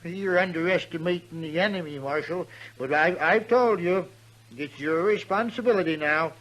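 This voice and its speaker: An actor playing a pompous, excitable old former cavalry general in a western radio drama, speaking formally with a slight stammer. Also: male voice, on the high side, normal speed, natural voice, poor audio. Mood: urgent, insistent. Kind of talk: 1950s western radio acting.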